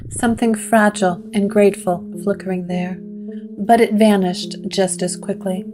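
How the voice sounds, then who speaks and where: A woman narrating a gothic horror story with measured, atmospheric delivery. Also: mid-range voice. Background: guitar music.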